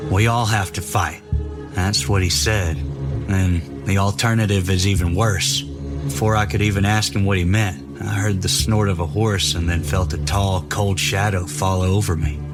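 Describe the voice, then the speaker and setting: A man plays a young soldier haunted by what he has seen, a fanatic with a lowish voice. He speaks gravely and deliberately, like a horror drama confession, with clear music running underneath. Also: steady tone, close to an everyday voice. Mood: grim recounting. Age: young adult.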